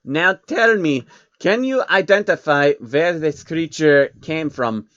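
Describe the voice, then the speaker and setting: A man voicing a menacing, condescending baron with a deliberate, sardonic manner for a story reading. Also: a little high, expressive, a bit bright, dry recording, lo-fi sound, pitched above the performer's own voice. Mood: cool, businesslike.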